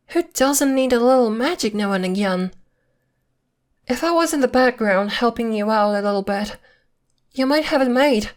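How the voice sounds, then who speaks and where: A woman's voice: a little high, lightly acted. A woman playing a possessive girlfriend who is secretly a witch, coy and sweetly menacing, in an ASMR roleplay. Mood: coy, teasing. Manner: coy, teasing, veiled threats in a sweet tone.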